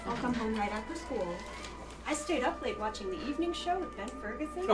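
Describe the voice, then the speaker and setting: A woman's voice: a little high, lively intonation, a little fast, recorded from a distance, over loud music, natural voice. A woman playing a perky, wholesome teenage daughter in a sitcom parody sketch. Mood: dutifully perky. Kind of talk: comedy sketch acting.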